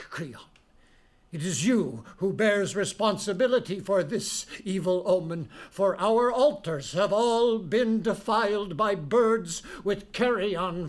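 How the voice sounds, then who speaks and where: A grave old prophet from Greek tragedy, played by a man, declaims with stern emphasis. The voice sits high for a man and moves very slowly, weighting each phrase with wrath and warning. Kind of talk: dramatic reading of Greek tragedy.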